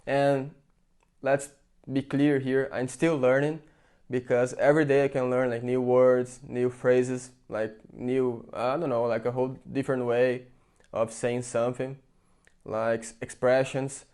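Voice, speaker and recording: A man's voice: mid-range voice, little pitch movement, measured pace, a little mellow, smooth. Chatty Brazilian man vlogging. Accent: Brazilian Portuguese. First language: Portuguese. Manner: chatty, rambling, with many fillers and restarts. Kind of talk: casual opinion vlog. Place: in England.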